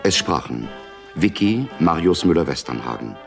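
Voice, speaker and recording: A man reading credits as a formal, measured announcer in 1960s radio style. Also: rumbling low, animated, creaky, faint music behind.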